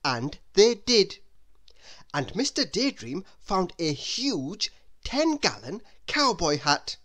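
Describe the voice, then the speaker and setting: Woman, children's read-aloud, very slow, hugely varied intonation, deliberate, expressive phrasing. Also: lowish voice. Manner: deliberate, expressive storytelling with drawn-out phrase endings. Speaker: storybook reader.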